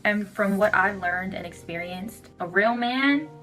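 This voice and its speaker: Female, mid-pitched, assertive advice-giver, emphatic delivery, music playing underneath. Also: a little slow, pushed and bright, rough recording. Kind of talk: relationship advice to camera.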